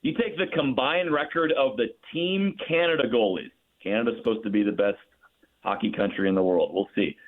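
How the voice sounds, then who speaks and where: A man's voice: mid-range voice, a little fast. Over a thin, phone-like connection comes a man with a mid-range voice. He is a sports broadcaster giving enthusiastic analysis in a loose, conversational style. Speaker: radio play-by-play broadcaster as guest. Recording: narrow muffled phone-like line.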